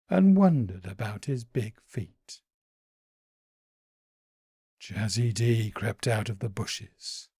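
A man narrating a children's picture book in an expressive, dramatic way. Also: medium pitch, gravelly, breathy edge, no echo, studio quality. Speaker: author reading own picture book.

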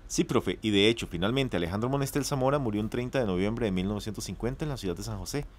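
A man's voice: fairly low pitch, quick pace, lightly acted. An actor playing a polite young male music student with a Costa Rican accent, explaining things in a radio drama. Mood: polite, informative.

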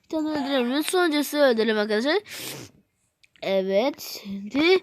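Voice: high voice, expressive, brisk, slight background noise. A video game YouTuber giving rambling, exclamatory let's play commentary, often repeating phrases and talking straight to viewers.

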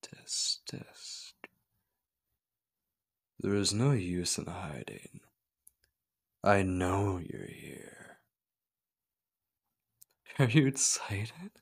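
A man's voice: deep voice, very animated, drawn-out words, gravelly, pauses often, slightly rough, heavily acted. A man playing a possessive, obsessive stalker in an ASMR roleplay, menacing and coaxing, often dropping to a whisper. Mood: sinister, teasing. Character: possessive, obsessive male stalker hunting his beloved.

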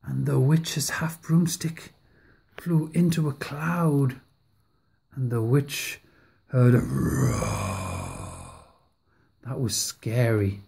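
Very slow, expressive woman reading aloud. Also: very deep voice, creaky, breathy edge. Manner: expressive, dramatic read-aloud. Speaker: storybook reader. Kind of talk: reading a picture book aloud.

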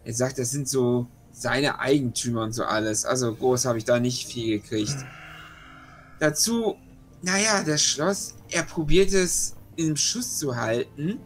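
A man's voice: medium pitch, lively intonation, a little slow. A man speaking casually as a player in a tabletop role-playing session. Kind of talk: casual game chat.

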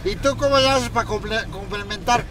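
A man with a Mexican accent and a strikingly high voice, teasing and swearing through a joking chat. He laughs often and needles his guest. He is recorded by a camera mounted in an open car on city streets, with clear music running behind. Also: a little fast. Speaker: host driving a guest. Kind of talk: crude joking chat while driving.

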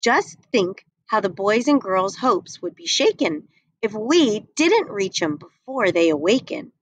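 A woman's voice: mid-range voice, sing-song, unhurried, slightly rough, no room sound, rough recording. A woman voicing Santa, a round, worried old man, calling commands in a storybook reading.

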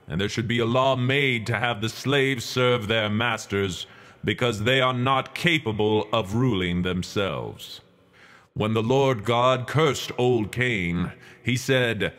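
A man performing a dramatic reading as a stern, resolute 19th-century religious leader, orating in a formal, measured, declamatory manner. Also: fairly low pitch, a bit bright. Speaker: reader.

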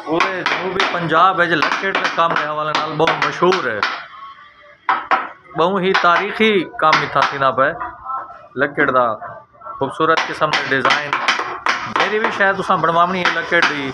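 A man's voice: a little high, moderate pace, pauses often. A man, casually vlogging through a woodwork workshop and praising what he sees. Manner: admiring, chatty, praises often. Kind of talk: casual walking vlog. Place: open brick workshop, indoors and out. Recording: loud workshop and street noise.

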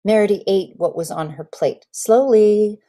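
Woman giving an expressive literary reading. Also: mid-range voice. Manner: expressive, deliberate phrasing with dramatic pauses. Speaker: actor reading a memoir excerpt. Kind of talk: dramatic literary reading, narrative storytelling. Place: indoor room at home, on a video call.

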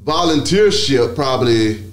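A Southern US man in a podcast studio speaks as a seasoned radio DJ being interviewed. His voice sits at a middle pitch. He talks casually, with slang and swearing, in a storytelling style. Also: expressive, a little slow. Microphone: close podcast mic.

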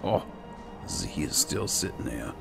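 A man's voice: rumbling low, big pitch swings, unhurried, music in the background. A man giving casual roleplay commentary over a video game, musing to himself in a laid-back way. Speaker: gaming video host. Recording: clear game ambience noise behind voice. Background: video game ambience.

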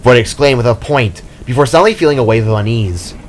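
A man's voice: medium pitch, lively intonation, fast talker, slight background noise. A man reading scripted fantasy fiction as narrator, in a dramatic storytelling style. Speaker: author and narrator.

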